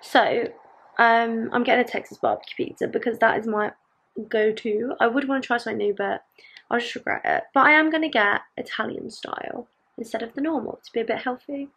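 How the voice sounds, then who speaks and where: A young woman chats casually to a camera held at arm's length in her home. Her voice sits slightly high and has a gravelly, husky edge. She rambles, filling pauses with ums. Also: fairly bright tone. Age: young adult. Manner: rambling, chatty, with many ums. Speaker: vlogger. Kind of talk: casual vlog chat to camera. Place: home living room and bedroom, indoors.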